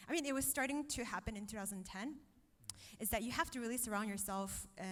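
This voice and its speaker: Woman, 30s, from Malaysia; fluent on-stage panel guest, mid-pitched voice, long hall reverb. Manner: fluent, frequent "um" and tag "right?". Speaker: startup agency chief executive. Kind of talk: on-stage interview answers.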